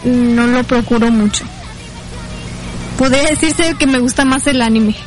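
A woman's voice: on the high side, a little slow, soft background music. A woman with a Mexican accent chatting casually as an interview guest, tentative and a little unsure in her answers. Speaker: interview guest, amateur fandub singer.

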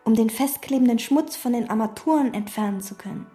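A withdrawn, obsessive hotel maid in a radio drama. Her voice sits a little high but sounds soft and mellow. She talks calmly, in terse, flat sentences. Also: a little fast, breathy edge, faint music behind. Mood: detached. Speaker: chambermaid. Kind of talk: radio play, inner monologue and dialogue.

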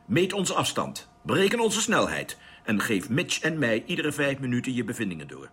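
An actor playing a terse, decisive spaceship captain in a Dutch radio play. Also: male voice, mid-range voice, wildly swooping pitch, normal speed. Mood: brisk, serious. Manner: terse, decisive, giving orders. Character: commanding male captain of a spaceship to Mars. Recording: noisy radio drama recording.

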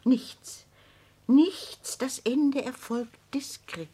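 Theatrical old grande dame, high husky voice. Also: female voice, very slow, warm tone, exaggerated voice. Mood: solemnly businesslike. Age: older. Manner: theatrical, imperious, mock-genteel. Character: theatrical old consul's wife, grandly scheming proprietress. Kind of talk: German radio drama acting.

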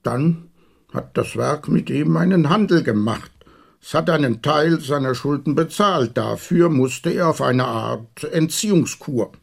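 A man's voice: fairly high pitch, lively intonation, unhurried, gravelly, slightly rough, full character voice. A man acting in a radio play as an old, stubborn, distrustful factory security veteran, guarded and terse. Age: older.

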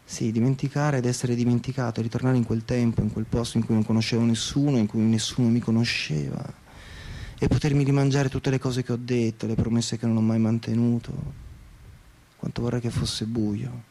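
A man's voice: mid-range voice, flat delivery, a little fast, dark tone, close to an everyday voice. An actor playing a jaded, coked-up professional man narrating his restless night in a confessional, cynical radio theatre monologue. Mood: weary longing.

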